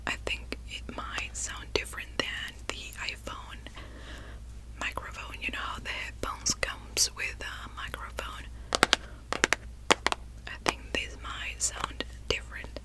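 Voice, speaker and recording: Slow soft whisper, woman w/ rambling asmr-style, joking about herself. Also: rough recording. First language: Spanish. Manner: soft rambling whisper, self-deprecating asides. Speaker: ASMR creator.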